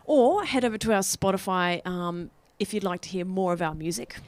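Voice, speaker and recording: A woman's voice: medium pitch, normal speed, no room sound. An Australian woman co-hosting a podcast, chatting casually and reading out notices. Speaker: podcast co-host. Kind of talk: casual chat and credit reading. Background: music.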